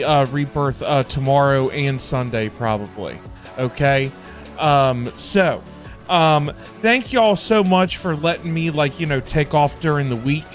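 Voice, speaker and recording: A middle-aged man chatting casually on a livestream in a halting, repetitive, informal way. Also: mid-range voice. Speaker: livestream host. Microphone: close desk microphone. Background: background music.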